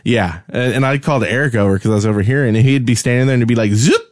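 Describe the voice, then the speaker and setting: A man's voice: medium pitch, rapid-fire, no echo, studio quality. A man co-hosting a podcast, casually telling gaming stories in a conversational way and acting out the dialogue as he goes. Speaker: podcast co-host and game server admin.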